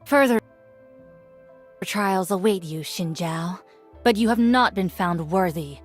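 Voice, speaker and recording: Game role: stern ancient warrior woman, solemn, formal, measured, mid-pitched, faint music behind. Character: stern, ancient female spirit-realm warrior, solemn and judging. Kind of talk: scripted game character voice lines.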